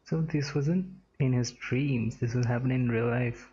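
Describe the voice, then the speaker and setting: A man with a creaky voice giving casual gameplay commentary as a streamer. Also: medium pitch, normal speed, mellow, muffled recording. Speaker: game streamer. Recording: game music behind.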